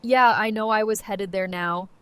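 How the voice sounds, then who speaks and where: A woman's voice: mid-range voice, normal speed, clear, resonant tone, dry recording, close to an everyday voice. Woman acting sassy young female survivor. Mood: wry. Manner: playful, blunt. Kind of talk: audio drama acting.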